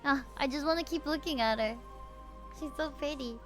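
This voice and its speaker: A woman with a Filipino accent doing casual livestream game commentary in a gushing, excitable manner. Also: high voice, moderate pace. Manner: gushing, excitable, reads game dialogue aloud. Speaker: VTuber livestreamer. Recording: game music behind the voice. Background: game music.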